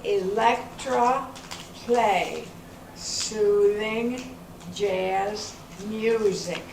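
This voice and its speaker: A woman's voice: mid-range voice, extremely slow, a little mellow. A woman playing a confident, bossy grandmother and self-styled tech expert in amateur readers theater, instructing others. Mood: bossy, assured. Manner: instructive, assured. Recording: echoey room from far off, faint noise.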